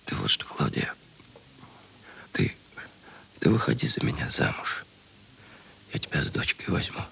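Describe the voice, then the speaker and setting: An actor playing a brash, restless but tender thief in a Russian radio drama, with halting, repetitive, slangy speech. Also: male voice, pushed and bright, whispered. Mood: pleading, hushed.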